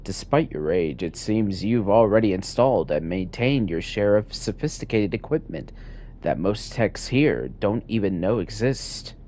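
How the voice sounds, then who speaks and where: A man streaming a game, chatting casually and reading in-game notes aloud in a relaxed way. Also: fairly low pitch, unhurried. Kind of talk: casual gameplay commentary.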